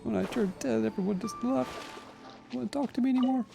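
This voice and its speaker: A man's voice: extremely high pitch, fast talker, creaky. A man chatting casually and playfully with viewers as he streams a game, often exclaiming, with game music behind him. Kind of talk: casual live gameplay chat with viewers.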